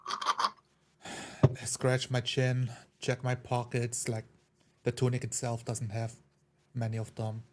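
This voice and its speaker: Flat-toned male tabletop player. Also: medium pitch, slow, long pauses, slight background noise. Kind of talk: casual first-person description of game actions.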